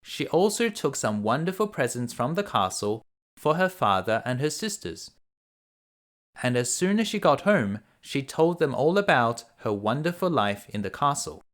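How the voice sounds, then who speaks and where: Male children's story narrator: mid-range pitch, lively intonation, expressive scripted reading. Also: normal speed. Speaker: bedtime story reader.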